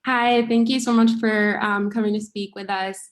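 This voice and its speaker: An American woman from the audience asking a question in an academic discussion, hesitant, with many ums, and trailing off softly. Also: mid-range voice, steady tone, normal speed. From United States.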